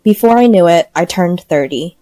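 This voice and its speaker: A woman's voice: fairly low pitch, expressive, normal speed, warm tone, no room sound, soft background music. A woman reading a first-person scripted story as narrator.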